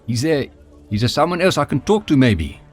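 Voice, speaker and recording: A man's voice: mid-range voice, very animated, fairly quick, natural voice. An actor playing a polite, unsure male protagonist in a video game, speaking hesitantly in game audio with music behind.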